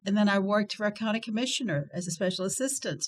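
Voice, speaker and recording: A woman's voice: medium pitch, fast. An older woman from Texas, a guest on an interview, reflecting on her working life in a rambling, self-correcting way. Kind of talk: casual interview chat.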